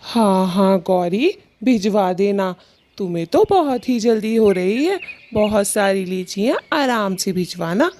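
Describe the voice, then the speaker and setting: A woman voicing a doting, lazy male thief bird in a children's cartoon, cajoling and drawn-out. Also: medium pitch, expressive, dark tone, lightly acted. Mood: lazy, scheming. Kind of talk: children's cartoon story acting.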